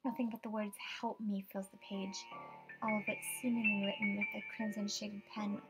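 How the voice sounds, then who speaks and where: A woman's voice: mid-range voice, level tone, normal speed, over music, lightly acted, rough recording. A woman voicing a frightened, superstitious young estate agent in a horror game, with a dramatic, tremulous delivery. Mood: uneasy, hushed. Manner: dramatic, tremulous, breathy asides, outbursts. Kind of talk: horror visual novel voice acting.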